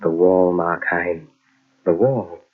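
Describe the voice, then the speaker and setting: Deep, sinister tempting inner voice. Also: male voice, fairly even intonation. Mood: coaxing. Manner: insinuating, slow commanding repetitions. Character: sly male inner voice of evil, tempting and commanding. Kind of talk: old-time radio mystery acting. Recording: dull lo-fi old radio cassette recording.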